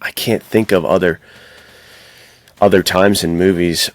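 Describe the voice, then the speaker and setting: A man's voice: deep voice, steady tone, a little slow, no echo. A man co-hosting a podcast, casually discussing stories with emphatic, slangy enthusiasm. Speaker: podcast co-host. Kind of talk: casual film and book discussion.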